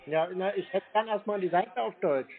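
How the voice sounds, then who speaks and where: A man's voice: high-pitched, moderate pace, slight background noise. A man on a muffled phone line giving terse, obstinate replies as if he were clueless. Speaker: caller baiting phone scammers.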